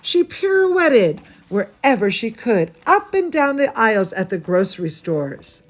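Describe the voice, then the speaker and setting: A woman reading a bedtime story to children as their dance teacher, theatrical and gushing, with drawn-out emphasis. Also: fairly high pitch, big pitch swings, slight background noise.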